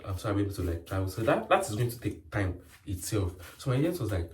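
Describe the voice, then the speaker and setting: A man's voice: lowish voice, big pitch swings, quick pace, slight background noise. A young man with a Nigerian accent, chatting casually in a rambling vlog story full of fillers. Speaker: nurse vlogger. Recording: roomy sound from a distant mic.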